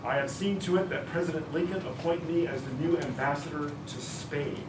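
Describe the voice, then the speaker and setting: A man's voice: fairly high pitch, brisk, natural voice. Man acting a stern senator father, roomy recording. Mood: stern, insistent. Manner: commanding, scolding. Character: stern, domineering senator father forbidding his daughter's romance. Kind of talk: staged musical reading. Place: live restaurant reading. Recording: roomy, distant mic, noisy restaurant.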